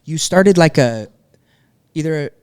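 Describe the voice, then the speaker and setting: A man's voice: on the high side, lively intonation, unhurried, a little mellow, smooth, no echo, studio quality. A man hosting a podcast, asking casual, slangy questions with some "um" fillers. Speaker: podcast host. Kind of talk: casual interview chat. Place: podcast studio. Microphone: podcast microphone.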